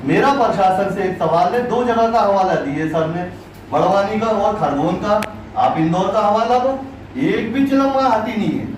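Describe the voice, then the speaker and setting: A middle-aged man speaking as a religious community leader at a meeting, in deliberate, insistent phrases. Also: high voice. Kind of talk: public address at an administrative meeting. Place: crowded indoor meeting room. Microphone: distant camera microphone. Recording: echoey room, distant microphone, faint noise.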